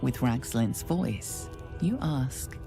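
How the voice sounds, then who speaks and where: A woman narrating a dark fantasy video game in a grave, measured, ominous storytelling manner. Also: rumbling low, animated, over music, full character voice. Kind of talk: scripted second-person game narration.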